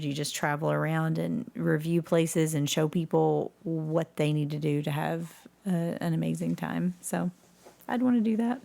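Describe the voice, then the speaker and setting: A woman's voice: low voice, creaky, darkish tone. A woman chatting casually as a guest in an interview. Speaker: podcast guest, community theatre actress.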